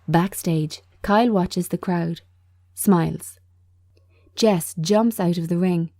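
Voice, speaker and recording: Slow, mellow woman reading a screenplay. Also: fairly low pitch, no room sound. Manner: measured, short declarative phrases. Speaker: screenplay reader. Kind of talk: scripted narration of screen directions.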